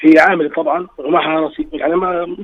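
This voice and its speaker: A man's voice: fairly high pitch, level tone, fast talker. A married man with a slightly tired voice calls in to a radio show to share an earnest personal story. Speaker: caller. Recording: dull lo-fi phone line.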